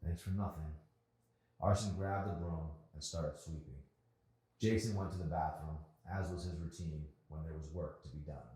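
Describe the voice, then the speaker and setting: A man narrating his own novel aloud in an expressive storytelling style. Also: extremely low pitch, normal speed. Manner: expressive, acts out dialogue. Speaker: author reading own novel. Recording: very echoey room.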